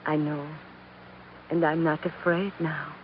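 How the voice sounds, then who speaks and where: An actress playing a genteel, confiding young romantic heroine in an old radio drama, with a soft manner. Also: female voice, low-pitched, little pitch movement, slow pace. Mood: confiding, tender. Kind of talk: 1940s radio drama acting. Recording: muffled old radio recording, faint hiss.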